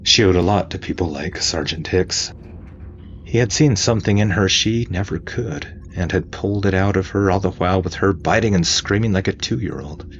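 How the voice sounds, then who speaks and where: Very deep, gravelly male story narrator. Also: normal speed, highs cut off. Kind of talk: dramatic scripted audiobook narration. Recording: faint noise behind voice.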